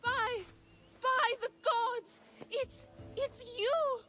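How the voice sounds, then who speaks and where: High-voiced, awestruck shepherdess. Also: female voice, fairly even intonation, sharp tone, soft background music, full character voice. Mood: starstruck. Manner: breathless reverence, halting, apologetic. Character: awestruck, devout, timid young shepherdess. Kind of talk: video game cutscene acting.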